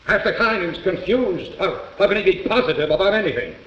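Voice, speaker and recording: A man's voice: high voice, expressive, quick pace, a bit bright. Impatient, combative foreman, echoey old TV. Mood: irritated. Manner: blunt, argumentative. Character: impatient, combative male jury foreman, scornful and stubborn. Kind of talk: 1950s live television drama acting. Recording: muffled, echoey, distant 1950s TV broadcast.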